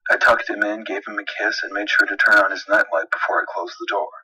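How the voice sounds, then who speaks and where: A man's voice: lowish voice, fairly even intonation, a little fast, pushed and bright, husky, recorded from a distance, poor audio. A man reading a horror story as a dramatic narrator. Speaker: creepypasta narrator. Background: music.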